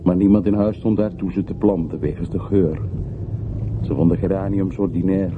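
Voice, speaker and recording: Through a narrow, muffled old radio sound with faint hiss comes an actor as a low-voiced man. He is wistful yet used to giving orders, speaking formally and reflectively, as in a radio play about coming home. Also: fairly even intonation, moderate pace, lightly acted. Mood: nostalgic. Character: wistful, commanding man revisiting his old hometown. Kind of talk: radio drama acting.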